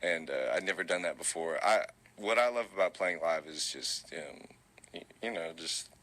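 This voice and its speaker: A young man, answering interview questions in a relaxed, rambling way with many fillers. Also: moderate pace. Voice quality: low voice. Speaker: musician guest. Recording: tinny phone-like recording.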